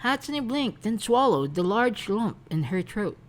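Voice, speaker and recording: A woman reading romantic fan fiction aloud as narrator, in a soft scripted storytelling style. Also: medium pitch, sing-song, measured pace.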